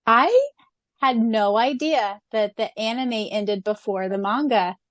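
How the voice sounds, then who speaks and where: A woman's voice: fairly high pitch, expressive, slow pace, no echo, clean studio sound. A woman co-hosting a casual fan podcast who reads listener questions aloud and laughs often. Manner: giggly, laughs often between remarks. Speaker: podcast co-host.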